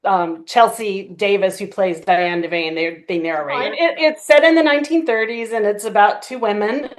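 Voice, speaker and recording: A woman with a medium-pitched voice, chatting casually as a guest on a video call. She explains in an informal, halting way, as a producer would. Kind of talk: casual chat.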